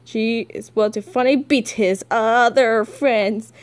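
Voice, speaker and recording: A woman's voice: on the high side, steady tone, slow pace. A woman voicing a theatrical, playfully menacing young comic villain who taunts in a sing-song way. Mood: playful menace.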